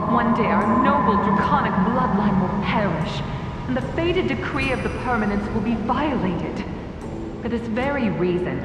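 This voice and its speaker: A woman's voice: medium pitch, natural voice. Game role for an actress: spectral elderly female scholar, formal and obsessive, distant in long reverb over music. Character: echo of an old, learned, obsessive woman alchemist. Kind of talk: dramatic video game voice acting.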